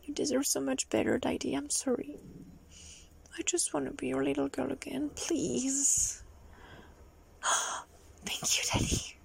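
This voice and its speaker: A young adult woman performing a solo audio roleplay in a soft, breathy, pleading manner, often dropping into a whisper. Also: high voice, big pitch swings. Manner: slow, drawn-out delivery with frequent whispering.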